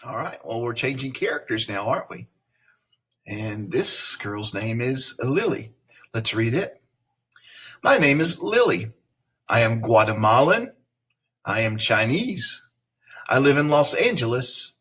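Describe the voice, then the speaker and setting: A man with a husky, slightly breathy voice reads a children's picture book aloud. He stops often to explain the text and ask his young listeners questions. When he stumbles he goes back and rereads the line. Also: medium pitch, sing-song, measured pace, long pauses, sharp tone. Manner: stops to correct his own misreadings. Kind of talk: reading a picture book aloud with teaching asides.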